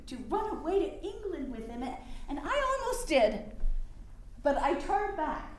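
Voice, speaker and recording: A woman's voice: high voice, a little mellow. A woman acting a resolute, confiding mother and suffrage campaigner in a staged period drama, speaking earnestly in formal phrasing. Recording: very echoey room with faint noise.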